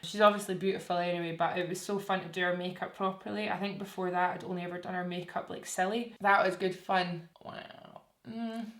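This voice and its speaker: A woman's voice: fairly low pitch, steady tone, a little fast, hardly any pauses, fairly bright tone. A young woman chatting candidly to camera while doing her makeup, self-deprecating and open. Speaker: beauty vlogger. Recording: echoey room with faint music.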